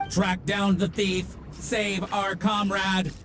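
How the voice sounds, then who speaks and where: A woman's voice: mid-range voice, flat delivery, slow, fairly bright tone, soft background music. A woman voicing obedient little combat robots in a video game, echoing orders mechanically. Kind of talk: video game cutscene acting.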